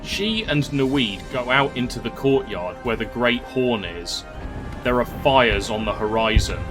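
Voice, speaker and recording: A man narrates a fantasy tale at an unhurried pace, in a measured literary manner. His voice sits at an average male pitch, and music plays clearly behind him. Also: expressive.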